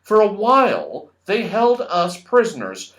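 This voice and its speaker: Earnest little fairy-tale fellow male reader, respectful petitioner, raised pitch, expressive. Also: unhurried, heavily acted, low-quality recording. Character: respectful, earnest round-faced male tree dweller pleading his case. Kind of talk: children's storybook character voice.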